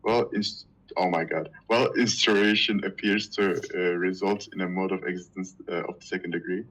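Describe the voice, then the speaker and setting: Deep husky man reading aloud, halting. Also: fairly even intonation, a bit bright, low-quality recording. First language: Turkish. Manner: halting, stumbling over hard words with many fillers. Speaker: reader in a reading group. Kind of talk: reading a philosophy text aloud.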